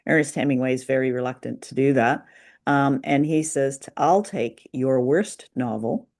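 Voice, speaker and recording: Low-toned woman, tentative podcast co-host. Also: a little mellow. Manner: tentative, pausing explanatory delivery. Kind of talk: unscripted discussion of old-time radio drama.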